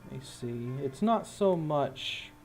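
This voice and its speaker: A man's voice: on the high side, animated, slow, a little mellow, faint music behind. A man voicing a firm, officious gate guard in a tabletop game, politely stonewalling visitors.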